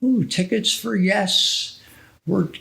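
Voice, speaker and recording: A middle-aged American man reminiscing casually as a podcast guest, telling his stories in a halting, conversational way. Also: sits high, creaky, bright, forceful tone. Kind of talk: casual reminiscing interview talk.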